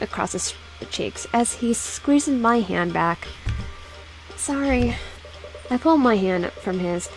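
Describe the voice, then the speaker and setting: A woman's voice: a little high, a little mellow, poor audio. A young woman reading a visual novel aloud with side comments, often stumbling, restarting words and giggling.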